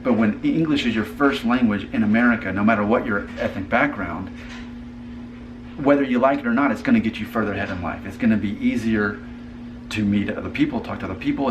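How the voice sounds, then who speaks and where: A man's voice: lowish voice, noisy background. A man hosting a casual family conversation, earnest and thinking aloud with frequent restarts. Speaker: host.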